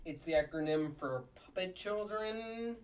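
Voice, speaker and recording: A performer acts out a cynical puppet prosecutor in a sitcom script. The delivery is unhurried and blunt, full of sardonic wisecracks. The voice sits back from the microphone. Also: medium pitch, expressive, far from the mic, natural voice. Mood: wry. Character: gruff wisecracking cynical puppet district attorney. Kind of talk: comedy script table read.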